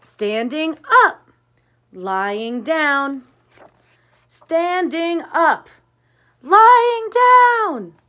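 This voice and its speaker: A woman voicing stubborn townspeople who shout and shriek at each other in a storybook. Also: sits high, lively intonation, extremely slow, long pauses, voice pushed up, heavily acted.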